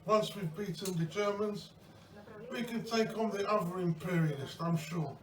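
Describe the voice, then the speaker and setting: Man playing high-pitched zealous Soviet soldier, echoey. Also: moderate pace, a crowd in the background, natural voice. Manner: repeats phrases, declamatory. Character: zealous wounded Soviet soldier, devoted to the motherland. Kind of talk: amateur improvised war role-play. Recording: echoey, distant, noisy room recording.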